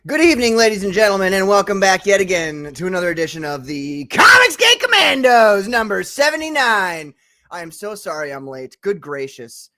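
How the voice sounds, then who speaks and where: Man, host, livestream chat, chatty, laughs often, somewhat high voice. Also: sing-song, fairly quick, bright, forceful tone. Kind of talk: casual live-stream chat.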